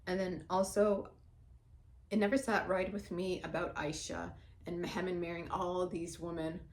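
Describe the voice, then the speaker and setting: Blunt, outspoken low-voiced woman. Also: steady tone, normal speed, a little hiss or hum. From Somalia. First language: Somali. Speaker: vlogger. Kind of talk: casual talk to camera.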